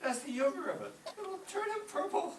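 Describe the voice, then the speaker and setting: Mischievous, eager high school boy played by an actor for radio comedy; animated swings, roomy far-off stage sound. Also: a little fast. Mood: gleeful scheming. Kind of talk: old-time radio comedy acting. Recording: distant echoey stage with clear noise.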